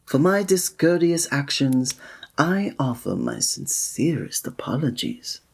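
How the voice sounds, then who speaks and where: A man playing a breathy, flirtatious innkeeper. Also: fairly high pitch, expressive, a little slow, darkish tone, full character voice. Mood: welcoming. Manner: formal, courtly and flirtatious. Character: flirtatious courtly male village innkeeper. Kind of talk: ASMR roleplay.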